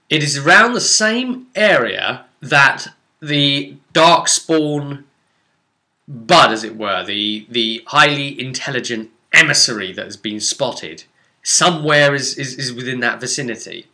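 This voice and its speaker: A man's voice: mid-range voice, bright, forceful tone. A man recounting a tabletop fantasy game as game master, telling it like a story with asides to the listener. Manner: storytelling with rhetorical asides to the audience.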